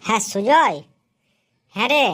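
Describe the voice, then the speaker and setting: A woman's voice: fairly high pitch, normal speed, a bit bright. A woman voicing a fussing, doting cartoon husband. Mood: coaxing. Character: gullible doting husband, adult male office worker. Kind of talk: comic Bengali cartoon dialogue.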